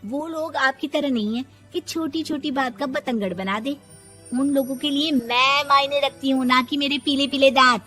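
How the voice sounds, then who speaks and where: A woman's voice: high voice, moderate pace, bright, forceful tone, soft background music. A woman voicing a sassy, defiant young daughter-in-law in a moral story, with a cheeky, argumentative way of talking. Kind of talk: animated moral story voice acting.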